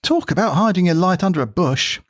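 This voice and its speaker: Man playing an animated, earnest mate, dry-recorded. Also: high-pitched, a little fast, no echo. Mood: casual. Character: matter-of-fact, gossipy male pub friend, comically earnest. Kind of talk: audio drama comedy dialogue.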